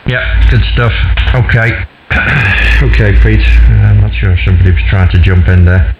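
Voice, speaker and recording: A man with a hoarse, nasal voice from the flu, chatting casually as an amateur radio operator. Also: on the low side, moderate pace, music in the background. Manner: chatty, friendly, signs off with call sign. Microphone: received over HF radio. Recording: roomy, muffled shortwave radio with loud static.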